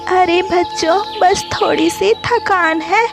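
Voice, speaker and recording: A woman voicing a gentle, devoted and weary mother bird in a children's cartoon, with an earnest, plaintive delivery. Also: very high voice, slow pace, creaky, exaggerated voice. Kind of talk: Hindi children's cartoon story acting. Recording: music behind the voice.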